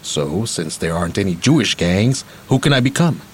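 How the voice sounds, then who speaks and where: Radio-play uncle just out of prison: low register, very animated, rambling and boastful yet fond, faint noise behind. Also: male voice, moderate pace. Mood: boastful, playful. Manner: rambling, candid, streetwise. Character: rambling, streetwise ex-convict uncle, boastful but affectionate. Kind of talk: naturalistic radio play acting.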